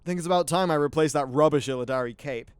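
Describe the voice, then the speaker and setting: A man's voice: a little high, quick pace, smooth. A man hosting a gaming video, giving casual, informal gameplay commentary with some swearing.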